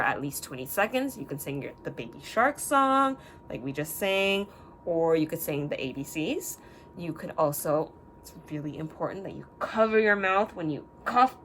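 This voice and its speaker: A woman teacher talking gently to young children, singing little hand-washing songs and reading a picture book aloud with many questions. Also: on the low side, a little hiss or hum. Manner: gentle, sing-song, asks many questions.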